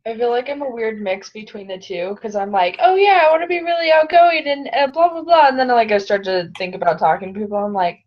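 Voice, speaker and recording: A woman's voice: on the high side, brisk, hardly any pauses, highs cut off. A woman on a casual group call, chatty and self-deprecating.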